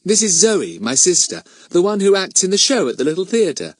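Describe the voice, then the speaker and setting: A man's voice: sits high, huge pitch swings, moderate pace, dry recording, voice pushed up, heavily acted. A man voicing a kindly, genteel young mother in a storybook, gracious and chatty.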